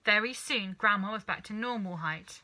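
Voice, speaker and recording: A woman narrates a children's story with animated, dramatic expression. Her voice is very bright and forceful, at a medium pitch. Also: normal speed. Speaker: storybook reader.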